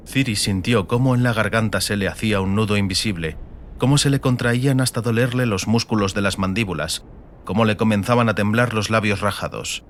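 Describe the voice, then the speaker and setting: A man's voice: fairly low pitch, a little fast, a little hiss or hum. A man reading a scripted, dramatic fantasy audiobook narration.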